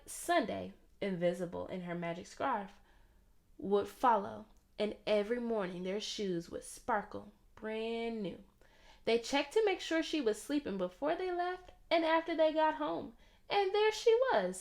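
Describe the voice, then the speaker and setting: A woman reading a children's story aloud in an expressive, theatrical way. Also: fairly high pitch, creaky. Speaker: storybook reader.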